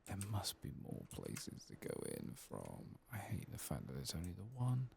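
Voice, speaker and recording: A man giving soft-spoken, sometimes whispered ASMR commentary as he plays a video game, muttering casually to himself. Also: extremely low pitch, huge pitch swings, moderate pace, vocal fry, slight background noise. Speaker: streamer playing a video game.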